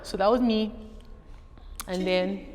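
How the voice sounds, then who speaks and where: A middle-aged Hawaiian woman tells a lively story to an audience as a guest speaker. She slips into other people's voices as she goes. Her voice rings out in a large hall, with faint noise behind her. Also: mid-range voice, slow pace.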